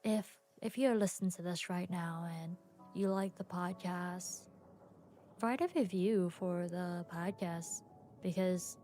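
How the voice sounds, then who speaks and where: Young woman podcaster, tired, slow and gravelly, soft music underneath. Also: lowish voice. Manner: soft, rambling, frequent pauses and little laughs. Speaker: podcast host and streamer. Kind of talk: casual solo podcast chat. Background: soft background music.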